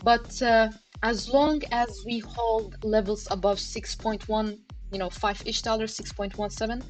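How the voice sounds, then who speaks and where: A woman with a slightly high voice talks a live audience through price charts in a loose, chatty way. She leans on fillers and checks in with her listeners often. Faint music plays under her stream. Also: steady tone, measured pace. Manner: chatty, many fillers, addresses chat directly. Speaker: crypto chart analysis livestream host. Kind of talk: casual live market commentary. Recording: livestream with faint background music. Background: faint background music.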